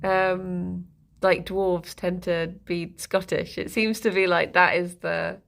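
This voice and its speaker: Chatty woman with vocal fry. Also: lowish voice, little pitch movement, slow pace, fairly bright tone. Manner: chatty and rambling, laughs often. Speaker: streamer reading chat. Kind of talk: casual live-stream chat.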